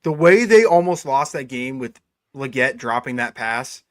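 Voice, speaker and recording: Young male co-host, relaxed sports banter, dry recording. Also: mid-range voice, normal speed, dry recording. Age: 20s. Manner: laid-back, says "dude" a lot. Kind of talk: casual sports chat.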